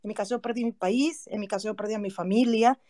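A woman's voice: medium pitch, steady tone, fast, mellow, no echo, studio quality. A woman with a Venezuelan accent speaking earnestly and from the heart on a remote audio call. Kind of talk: personal remarks in an online audio chat room.

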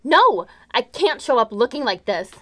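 A woman's voice: fairly high pitch, big pitch swings, normal speed, a bit bright. A woman playing a distraught, self-conscious teenage daughter, whiny and sarcastic, in a comedic audio drama. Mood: distraught, sulky.

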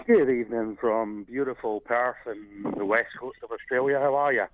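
A man's voice: medium pitch, steady tone, moderate pace. Scottish male caller, mid-range voice, hesitant and friendly, heard over a thin phone line. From Scotland. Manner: friendly, hesitant, many fillers. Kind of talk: phone-in call to a talk show.

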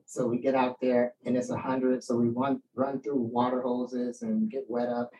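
A man's voice: medium pitch, normal speed. Man reflecting aloud, recorded from a distance. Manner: animated storyteller, acts out quoted remarks. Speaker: poet and writing teacher giving a talk. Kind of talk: casual reflective question-and-answer talk. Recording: distant mic with faint background noise.

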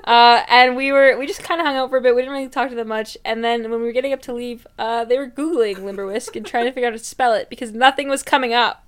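A woman's voice: fairly high pitch, steady tone, fast talker, few pauses. A young woman from Canada co-hosting a podcast, chatting casually and teasing her co-host in dry banter. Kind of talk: casual chat.

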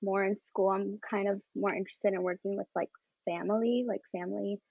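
A woman's voice: medium pitch, fairly even intonation, brisk, vocal fry, slightly rough, no room sound. A nineteen-year-old woman, a college student, eagerly explaining herself as a podcast guest. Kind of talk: casual podcast chat. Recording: slightly dull, dry sound.

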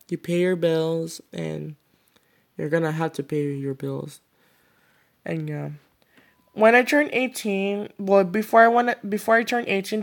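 A man's voice: high voice, creaky. A sixteen-year-old boy hosting his own podcast, talking alone in a loose, rambling way full of "like, you know.". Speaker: podcast host. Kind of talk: casual solo podcast monologue.

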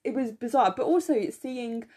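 A young woman co-hosting a podcast in casual chat, enthusiastic and full of fillers, her voice slightly croaky, recorded on a phone in her room. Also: on the high side, normal speed, mellow. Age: young adult. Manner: chatty, enthusiastic, many fillers. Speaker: podcast co-host.

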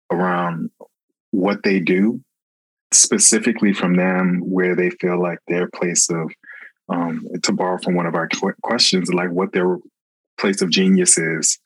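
Brief: man from Florida, recruiter, husky low voice, dry close sound, earnest and thoughtful. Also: dry recording, poor audio. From Florida, USA. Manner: reflective, earnest, many ums. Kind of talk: casual interview talk.

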